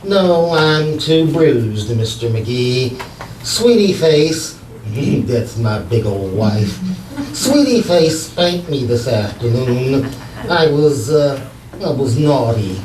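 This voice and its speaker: A man's voice: mid-range voice, sing-song, slow pace, darkish tone. A man acting a timid, henpecked little husband, prim and hesitant, in a 1940s radio comedy style. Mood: meek. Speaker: actor in a stage radio-play revival. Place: live theater stage with audience. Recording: roomy far-off stage recording, faint hiss.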